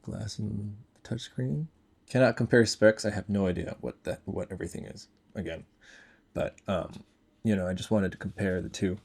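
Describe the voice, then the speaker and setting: A man's voice: on the low side, fairly even intonation, moderate pace, gravelly, darkish tone, husky. A man giving casual, unscripted product commentary, thinking aloud in short, informal remarks as a reviewer.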